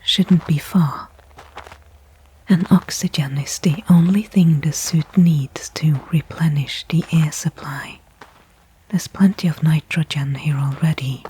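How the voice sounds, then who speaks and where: A woman playing a weary stranded astronaut in a whispered ASMR sci-fi roleplay, breathy and hushed, thinking aloud with pauses between thoughts. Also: low voice, steady tone, slight background noise, low-quality recording. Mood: cautiously hopeful. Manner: slow, soliloquizing, pausing between thoughts. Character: weary stranded female astronaut, anxious, faintly hopeful.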